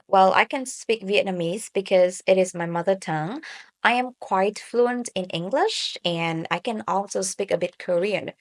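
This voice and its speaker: A woman who works as an English speaking tutor, announcing each exam question and then reading model answers in a steady, explanatory way, with occasional fillers and small restarts. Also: medium pitch.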